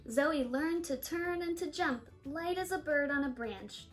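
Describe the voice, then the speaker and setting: High-pitched woman reading a children's story. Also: measured pace, soft background music. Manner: animated storytelling, stressing and stretching key words. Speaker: storybook reader. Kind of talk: expressive read-aloud of a children's picture book.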